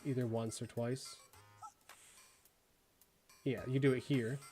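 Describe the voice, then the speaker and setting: Young midwest guy rambling on stream, lots of likes and ums, mid pitch, faint music behind. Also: dark tone. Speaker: streamer reviewing viewer work. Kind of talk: casual live-stream chat.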